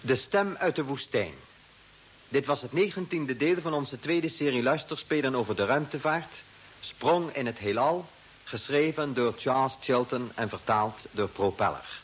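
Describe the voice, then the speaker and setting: A male radio announcer with a medium-pitched voice, formal and deliberate. He reads in an old broadcast style over a faint hiss. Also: animated. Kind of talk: formal mid-century Dutch radio announcement.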